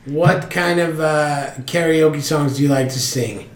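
A man co-hosting a podcast in casual chat, joking with quick asides and laughing often. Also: fairly high pitch, reverberant. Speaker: podcast co-host.

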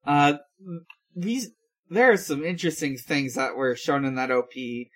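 A man hosting a podcast, chatting casually through a recap with a halting, hesitant delivery and frequent pauses. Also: mid-range voice, lively intonation. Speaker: podcast host. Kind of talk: casual recap talk with co-hosts.

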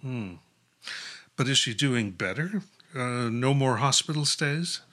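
Tired strict priest headmaster, curt, sharp forceful voice, audio play. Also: male voice, medium pitch, expressive, slow pace. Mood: guarded. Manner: curt, exasperated, admonishing. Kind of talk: audio drama acting.

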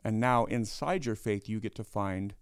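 A man's voice: lowish voice, normal speed, smooth, dry recording, clean studio sound. A middle-aged man, a pastor, hosting a casual interview in a chatty, joking way with many restarts. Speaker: pastor and host.

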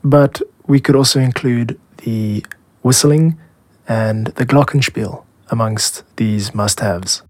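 A South African man narrates a podcast in a measured, explanatory way. His voice sits at an average male pitch and is recorded close and dry, with very little room sound. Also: darkish tone, no echo. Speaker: podcast host, music composer and producer.

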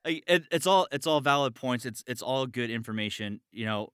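Casting: male podcast host and voice actor, lower-pitched, forceful and bright, enthusiastic, freely swearing, informal. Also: animated, fairly quick, clear, resonant tone, no echo, clean studio sound. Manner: enthusiastic, profane, encouraging. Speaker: interview show host and voice actor. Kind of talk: casual chat about voice acting.

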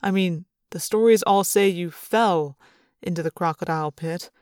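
A woman narrator takes on a middle-aged male school official for a storybook. He sounds earnest and measured, pitched low for the reader, with a patronizing, probing drone. The recording is dry and close. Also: darkish tone, no echo, close to an everyday voice. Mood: concerned, probing.

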